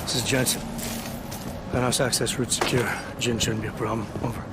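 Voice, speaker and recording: A man playing a terse, cool-headed special agent in a video game, speaking in short low-key bursts. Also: level tone, a little fast, very noisy, natural voice.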